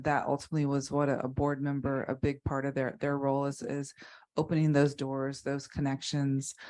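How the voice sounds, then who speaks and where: Halting woman with a low voice. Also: a little mellow. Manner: halting, repeats words. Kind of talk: unscripted panel answer.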